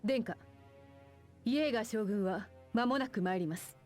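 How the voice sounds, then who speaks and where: A woman's voice: a little high, normal speed, a little mellow, faint music behind, lightly acted. A woman playing a loyal, stern military officer in a Japanese video game, speaking formally and deferentially.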